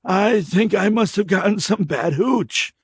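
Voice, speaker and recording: A man's voice: high-pitched, unhurried, husky, no room sound, studio quality, pitched above the performer's own voice, heavily acted. A man voicing a greasy, scared drifter who stammers and whines defensively. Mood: nervous pleading.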